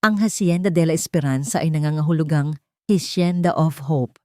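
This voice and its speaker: A devoted rich man voiced by a woman narrator speaks tenderly and earnestly in a romance story. The voice is low for a woman, emotional, and recorded dry. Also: moderate pace, darkish tone, no echo, lightly acted.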